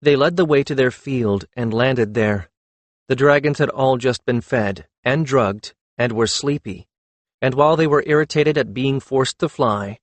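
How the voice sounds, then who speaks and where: A man's voice: medium pitch, dry recording. A man reading a scripted fantasy audiobook as narrator.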